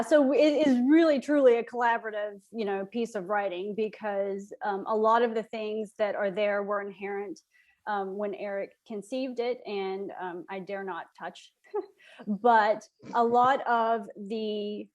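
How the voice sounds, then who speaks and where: A woman playwright giving a casual, reflective interview answer, pausing often as she thinks. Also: medium pitch.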